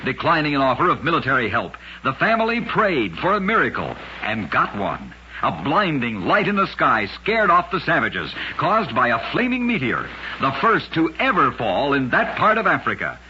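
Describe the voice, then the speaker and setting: A man narrating scripted curious true tales for radio in a measured, dramatic announcer style. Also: medium pitch, huge pitch swings, sharp tone. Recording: dull lo-fi radio recording, faint noise.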